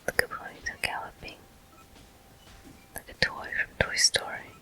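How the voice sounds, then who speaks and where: A person whispering soothing, unhurried ASMR game commentary in a breathy voice, close to the microphone. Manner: soothing, unhurried, softly encouraging. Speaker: game streamer.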